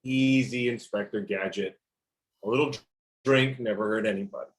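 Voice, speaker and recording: A man's voice: on the low side, normal speed, natural voice. A man playing a cocky, flirtatious macho soldier with casual swagger in an amateur table read. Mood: teasing.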